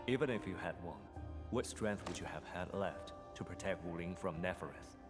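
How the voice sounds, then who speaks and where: An actor playing a stoic, terse military operative in a video game, speaking formally and bluntly. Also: male voice, deep voice, normal speed, music in the background, lightly acted. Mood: grave, calm.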